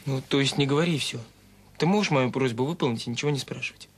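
A man's voice: medium pitch, quick pace, slightly rough, lightly acted. An actor playing a young student son in an audio drama, guarded and self-conscious, speaking colloquially and coaxingly to his mother. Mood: defensive, pleading. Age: young adult. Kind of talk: naturalistic radio drama dialogue.

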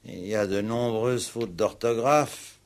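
Police commissioner, lowish voice, measured, wry, probing, courteous, old radio drama. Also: male voice, a little hiss or hum. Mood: deliberating. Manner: measured, inquisitive, lightly ironic. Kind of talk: 1960s French radio play acting.

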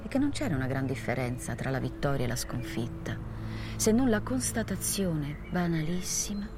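Extremely low-voiced woman, grave narration over music. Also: big pitch swings, moderate pace. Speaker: documentary film narrator. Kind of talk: scripted literary narration.

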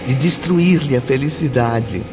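A man serves as the dramatic narrator of a radio play. His voice sits at a medium pitch and follows a scripted storytelling style. Music plays loudly underneath. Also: slow.

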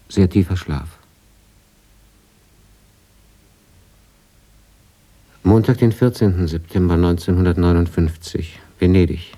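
A man's voice: sits low, warm tone. An actor playing a brooding writer who reads his old travel diary in terse, reflective fragments in a radio play. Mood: sober, reflective. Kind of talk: radio drama diary reading.

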